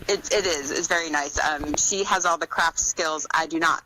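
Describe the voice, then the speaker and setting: A woman's voice: low-pitched, moderate pace, background noise. A woman author speaking as a guest in a casual interview chat.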